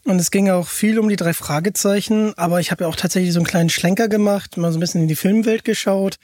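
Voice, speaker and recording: A man hosting a podcast, chatting casually and enthusiastically, often halting and repeating words as he asks questions. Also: sits high, fairly even intonation, darkish tone, no echo, studio quality. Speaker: podcast host. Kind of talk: casual chat, interviewing.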